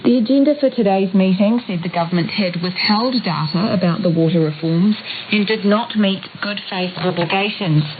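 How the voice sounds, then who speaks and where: A woman's voice: medium pitch, moderate pace, few pauses. New Zealand woman reporting over hissy shortwave. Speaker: news reporter. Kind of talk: scripted radio news report. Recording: muffled shortwave radio, clear hiss. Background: static hiss.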